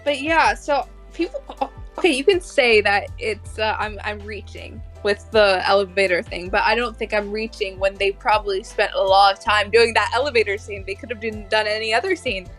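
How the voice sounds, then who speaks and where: A woman podcast host talks in a casual fan-chat style at a middling pitch. She feels her way through each thought, often circling back, with faint music behind her. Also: a bit bright. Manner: hesitant, halting, circling back mid-thought. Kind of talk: casual fan discussion of an animated series.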